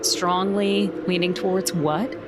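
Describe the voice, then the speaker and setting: A woman's voice: fairly low pitch, fairly even intonation, slow. Woman from south Louisiana, tabletop player, wry and blunt casual talk, very noisy recording. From south Louisiana, United States. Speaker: player in a tabletop role-playing game. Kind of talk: casual game chat.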